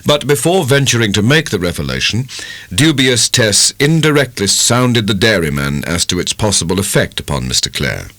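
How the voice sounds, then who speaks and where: British male audiobook narrator, low register, lively intonation, dramatic and measured delivery of classic prose. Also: no room sound. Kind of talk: dramatic scripted literary narration.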